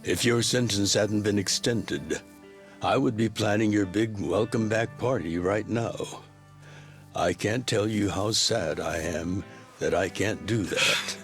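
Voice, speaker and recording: An actor playing an aging male yakuza patriarch reading a heartfelt letter in a gentle manner, in a video game dub. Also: on the low side, slow pace, faint music behind. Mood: fond.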